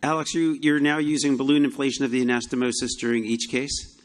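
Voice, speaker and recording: A man's voice: mid-range voice, steady tone, fast talker. A man asking the surgeon questions in a conversational way, like a moderator.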